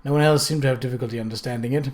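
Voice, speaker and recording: A man's voice: medium pitch, steady tone, very fast, a little mellow, voice pushed down, close to an everyday voice. A man voicing a mild, polite and reserved married lord in an audiobook, with a courteous manner. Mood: weary.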